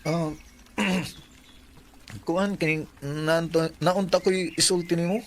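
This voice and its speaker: An actor playing a shy, nervous teenage schoolboy in love in a radio drama, speaking haltingly with a Cebuano accent. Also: male voice, on the high side, fairly even intonation, a little hiss or hum. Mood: nervous, pleading. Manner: hesitant, halting.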